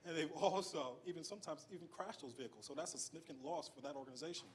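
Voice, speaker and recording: A man presenting use cases in a startup pitch, explaining them step by step and checking in with his audience. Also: racing, a little hiss or hum. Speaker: startup team member pitching.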